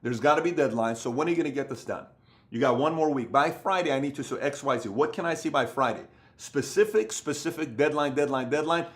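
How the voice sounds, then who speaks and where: A man's voice: medium pitch, a little fast. Thirties man, motivational business coach, emphatic and repetitive, indoor sit-down to camera. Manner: emphatic, repetitive, acts out other people's voices. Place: indoor room with bookshelves.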